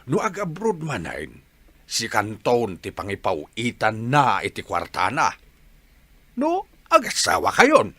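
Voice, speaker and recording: A man playing a scheming, insistent father in a radio drama, with deliberate, emphatic delivery. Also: mid-range voice, huge pitch swings, sharp tone, slightly rough, dry recording, exaggerated voice. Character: scheming, insistent father pushing his grown child.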